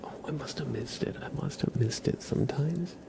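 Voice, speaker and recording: A man hosting an ASMR livestream, chatting casually to viewers in a soft, breathy, gentle whisper close to the microphone. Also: on the high side, fairly quick, background noise.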